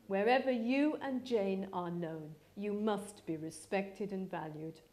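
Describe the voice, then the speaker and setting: Woman playing a wry, unruffled gentleman father. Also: mid-range voice, natural voice. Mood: wry, placating. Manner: dry, teasing, measured, throat-clearing.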